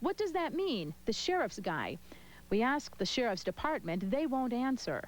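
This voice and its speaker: A woman's voice: fairly high pitch, very animated, normal speed, slight background noise, poor audio. A woman with an American accent delivering a broadcast news report as a television reporter.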